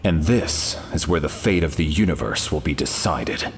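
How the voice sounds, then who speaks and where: Deep-voiced, solemn cosmic warrior. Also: male voice, expressive, normal speed, noisy background. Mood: portentous. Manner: formal, portentous. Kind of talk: video game character dialogue.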